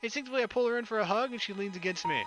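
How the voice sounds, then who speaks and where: Male let's player, fairly high voice, expressive story reading with casual delivery, game music behind him. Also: rapid-fire. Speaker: let's player reading a visual novel aloud. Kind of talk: expressive narration and dialogue reading with casual asides.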